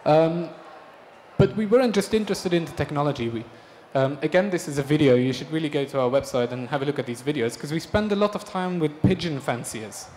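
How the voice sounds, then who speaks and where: A man from Belgium giving a conference talk about his design projects, relaxed and hesitant, with frequent ums. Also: a little high, brisk. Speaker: speaker presenting design projects. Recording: reverberant hall with faint noise.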